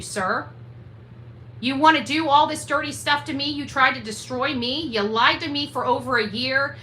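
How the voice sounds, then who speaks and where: High-pitched, combative woman livestreamer. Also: bright, forceful tone. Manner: combative, indignant, emphatic, repetitive. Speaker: livestream host. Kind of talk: livestream commentary rant. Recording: roomy echo, faint background noise.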